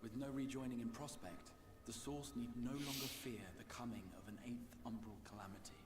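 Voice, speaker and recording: An actor playing a solemn, formal male mage summoner in a video game, speaking in a measured way. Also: mid-range voice, natural voice. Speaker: game character. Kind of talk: voiced video game dialogue. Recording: game audio with reverb and music.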